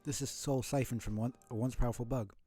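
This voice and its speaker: A man's voice: mid-range voice, steady tone, quick pace, dark tone, slightly rough, soft background music, lightly acted. A man voicing a jaded, scornful bug in a video game, blunt and folksy in manner. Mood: suspicious, probing. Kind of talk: video game dialogue read aloud.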